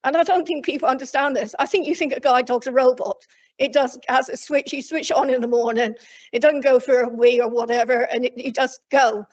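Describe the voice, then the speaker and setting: A woman from Cornwall speaking loudly and plainly from memory to a council committee, rambling with frequent restarts. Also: high voice, fairly even intonation, brisk. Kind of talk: unscripted address from memory. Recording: dull lo-fi council meeting recording.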